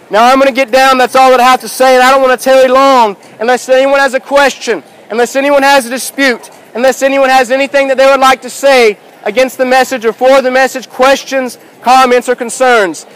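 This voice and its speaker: A man in his thirties preaching outdoors in a busy city square, shouting with emphatic, repetitive rhetorical questions. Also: extremely high pitch, clear, resonant tone, rough recording. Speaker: street preacher. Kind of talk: open-air sermon. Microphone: far handheld camera, no mic.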